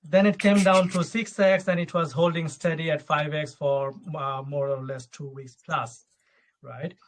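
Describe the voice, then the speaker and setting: A man's voice: a little high, fairly even intonation, a little slow. Bangladeshi-born man, loose tag-question talk. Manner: loose, repeats "right?" and restarts often. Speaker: token project founder. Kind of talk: live audio AMA talk. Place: Luxembourg.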